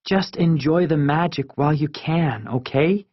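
A spirited young schoolgirl acted out by a male narrator in a children's audiobook. The voice is lifted high and speaks with frank, emphatic earnestness, teasing like a loyal friend. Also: measured pace, slightly rough, no echo, lo-fi sound. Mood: gentle insistence.

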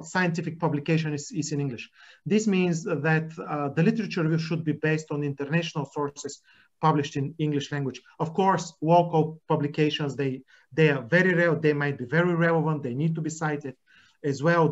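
Man, halting academic talk. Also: fairly high pitch. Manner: frequent hesitations, restarts. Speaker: journal editor, panelist. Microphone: video call. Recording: muffled video call audio.

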